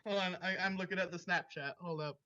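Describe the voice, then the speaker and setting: Bright-voiced man reading aloud, dull recording. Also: sits high, steady tone, fast. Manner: breaks off into chatty asides. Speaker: story reader. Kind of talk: reading fan fiction aloud, with casual asides.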